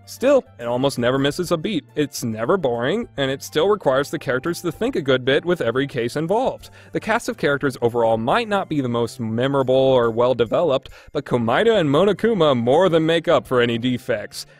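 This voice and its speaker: A man's voice: mid-range voice, animated, brisk, hardly any pauses, faint music behind. A man narrating a scripted countdown review as a video game reviewer, informal and opinionated.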